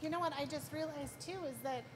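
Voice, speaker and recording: A woman's voice: sits high, fast talker. A woman moderating a panel in an informal, encouraging way, prompting others with short questions and replies. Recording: reverberant hall with background noise.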